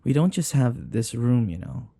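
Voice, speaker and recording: A man playing a possessive, obsessive dating-game character in an intimate ASMR roleplay, softly coaxing with endearments, sighs and whispers. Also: mid-range voice, sing-song, moderate pace, breathy edge. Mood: doting, coaxing.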